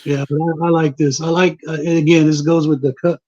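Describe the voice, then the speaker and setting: A man's voice: fairly high pitch, level tone, quick pace, a little mellow, no room sound, lo-fi sound. A man from West Texas chatting casually about his comic and record collection, drawling and easygoing. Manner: laid-back, drawling, says "baby" and "man". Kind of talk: casual group chat on a livestream.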